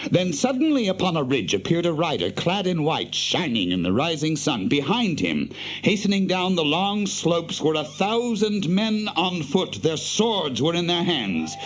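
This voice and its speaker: A man giving solemn, theatrical scripted narration of a dramatic story. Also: a little high.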